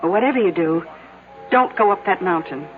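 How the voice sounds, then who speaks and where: A woman's voice: lowish voice, expressive, brisk, natural voice. An actress playing a plainspoken, chatty small-town innkeeper who needles her guest in an old-time radio drama. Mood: teasing, needling. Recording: tinny vintage radio recording, background music.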